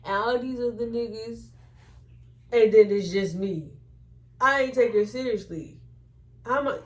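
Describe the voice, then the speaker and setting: A woman's voice: on the high side, measured pace. A woman chatting and telling stories casually, blunt and teasing, with slang and swearing. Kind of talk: casual chat, storytelling.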